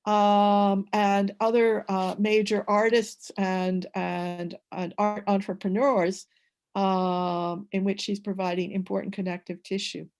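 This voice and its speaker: A woman on an academic panel, talking in a measured, hesitant way with many filler sounds over a video call. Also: mid-range voice, little pitch movement, darkish tone.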